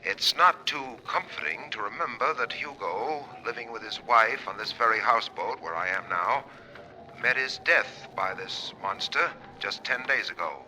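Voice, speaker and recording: A man's voice: on the low side, lively intonation, normal speed, sharp tone, slight background noise, rough recording. A man playing a dramatic radio broadcaster in a 1940s radio thriller, with a theatrical, suspenseful delivery. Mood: ominous, suspenseful. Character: bold male radio broadcaster, dramatic, seized by terror. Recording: vintage 1940s radio recording.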